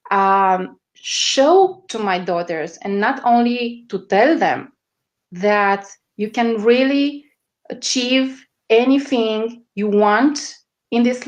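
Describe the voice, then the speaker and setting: A woman with a strong Romanian accent answering interview questions, often pausing on fillers as she searches for words. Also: medium pitch. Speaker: guest, media strategist.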